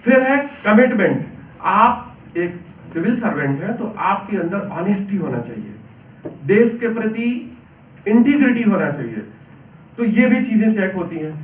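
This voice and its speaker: A man with a hoarse voice lectures a seminar hall, laying out his points one by one and tossing questions to the listeners. His voice sits fairly high for a man and rings around a roomy space. It comes through a faulty mic from a distance, with a dull, muffled sound and faint noise behind it. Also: big pitch swings, a little slow. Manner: explains point by point, asks questions to the audience. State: hoarse throat. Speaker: civil services exam coaching teacher. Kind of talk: seminar lecture to exam aspirants. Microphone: faulty microphone.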